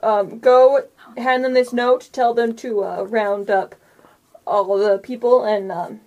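A woman's voice: fairly high pitch, steady tone, takes it slowly, darkish tone, muffled recording. A woman improvising narration as game master in a casual, hesitant way.

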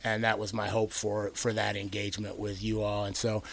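A man's voice: fairly low pitch, monotone, normal speed, gravelly. A middle-aged man hosting an interview, speaking earnestly and reflectively with many "you know"s. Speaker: host. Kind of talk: radio interview conversation.

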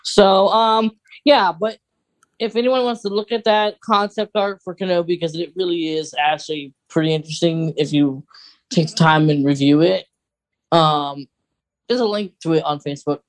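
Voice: medium pitch, steady tone, normal speed. An American podcast co-host chatting casually in an informal, rambling, conversational way.

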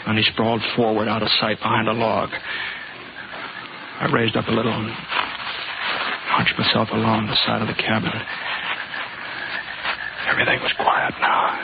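Terse weathered american cowboy drifter, low even voice, old 50s radio show, super hissy. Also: male voice, a little slow, gravelly, long pauses. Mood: tense, reflective. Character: laconic weathered male drifter gunslinger in a western. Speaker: lead actor and narrator. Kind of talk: 1950s radio western acting, first-person narration. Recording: hissy, muffled 1950s radio recording.

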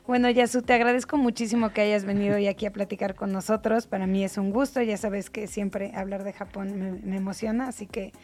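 A woman hosting a radio travel show, friendly and quick to laugh. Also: mid-range voice, flat delivery, a little mellow. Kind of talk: casual radio interview.

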